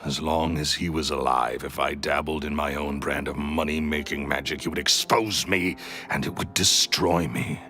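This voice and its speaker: An actor playing a bitter, vain, greedy magician thief in a video game, theatrical and sneering. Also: male voice, a bass voice, fairly even intonation, moderate pace, bright, forceful tone, soft background music. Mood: bitter, resentful.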